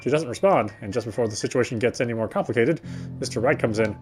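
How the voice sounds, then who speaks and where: A man's voice: mid-range voice, quick pace, a little mellow. A man playing a visual novel and reading its narration aloud as he goes. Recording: game music behind the voice.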